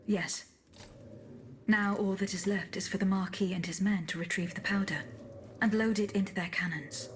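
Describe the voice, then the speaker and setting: Actress as female automaton bodyguard, calm, dutiful, formal, measured, game cutscene, slight background noise. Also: mid-range voice, natural voice. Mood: composed, resolute. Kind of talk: video game cutscene acting.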